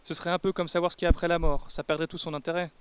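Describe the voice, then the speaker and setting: A man acts out a protective young group leader in an audio drama. His voice is high and very clear, and he takes charge in a firm, parental, reasonable manner. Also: little pitch movement, very fast, lightly acted. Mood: matter-of-fact. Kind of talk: audio fiction acting.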